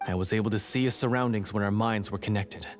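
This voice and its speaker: Man playing an earnest, low-voiced repentant adventurer. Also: fairly even intonation, fast, lightly acted. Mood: grave, urgent. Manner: formal, solemn, sincere. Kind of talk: video game character acting. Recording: music playing behind the voice.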